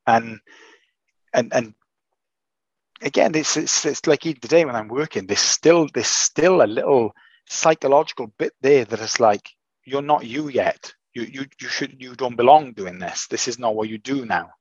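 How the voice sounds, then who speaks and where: A man with a croaky, raspy voice after illness, co-hosting a casual chat and often repeating words while he thinks. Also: medium pitch, moderate pace, dry recording, dull audio. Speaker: podcast co-host.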